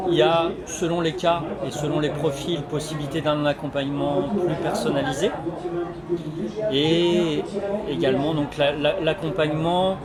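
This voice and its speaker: A man explaining his association's work in an interview, halting often as he searches for words. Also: a little high. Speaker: energy renovation association representative. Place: community associations forum in a sports hall. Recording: loud crowd hubbub at a fair. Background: loud crowd.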